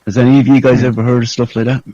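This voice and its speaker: A man's voice: mid-range voice, flat delivery, very fast, dark tone, no room sound. A man chatting casually and telling stories in a sweary, conspiratorial way over a lo-fi voice call. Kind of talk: casual chat. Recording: dull lo-fi call audio.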